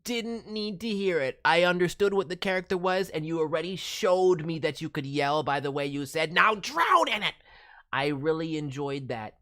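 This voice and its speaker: A man's voice: a little high, lively intonation, normal speed, bright, forceful tone. A man speaking as a voice director, giving casual, enthusiastic and encouraging critique. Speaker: voice director reviewing demo reels.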